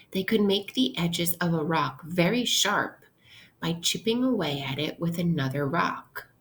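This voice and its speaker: Female primary teacher, read-aloud lesson style, slightly low pitch, unhurried instructional delivery, slight background noise. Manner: instructional, posing questions to listeners. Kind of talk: interactive read-aloud lesson for young children.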